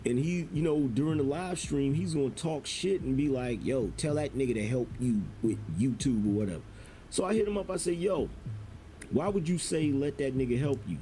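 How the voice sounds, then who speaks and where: A man with a dark, relaxed tone gives casual commentary. He tells stories in loose, profane street slang. There is faint background noise on the recording. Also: mid-range voice, normal speed, low-quality recording.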